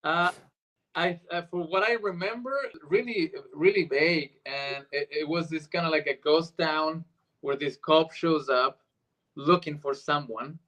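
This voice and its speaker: A man's voice: on the high side, steady tone, a little slow, fairly bright tone, rough recording. A man from Argentina, a native Spanish speaker, telling stories casually as an interview guest. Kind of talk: casual chat, storytelling.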